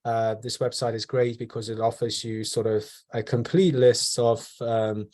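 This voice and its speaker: A man in his twenties from Poland, a medical student mentoring on a video call panel, speaking in a measured way with frequent ums and you-knows. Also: medium pitch, steady tone, a little mellow. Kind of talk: online panel talk.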